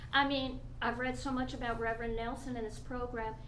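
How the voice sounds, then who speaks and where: A woman acts out an anxious, eager young-at-heart chatterbox in a live stage reading. Her voice sits fairly high and she rambles in halting, repetitive bursts. The room rings around her, with some background noise. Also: little pitch movement, fairly bright tone, roomy sound, lightly acted. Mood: nervous, eager. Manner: rambling, halting, repeats herself. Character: nervous, eager, chatty woman bakery worker seeking guidance.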